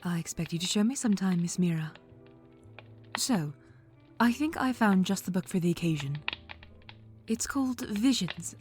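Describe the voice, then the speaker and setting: A woman voicing a refined, long-lived aristocratic scholar in a fan audio drama, who speaks in a formal, measured, courtly way. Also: mid-range voice, a little mellow, lightly acted.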